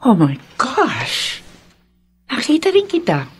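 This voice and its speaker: A woman's voice: fairly high pitch, wildly swooping pitch, takes it slowly, slight background noise, heavily acted. An actress playing a poised, well-to-do middle-aged businesswoman and mother in a radio drama, with a Filipino accent. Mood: eager, polished.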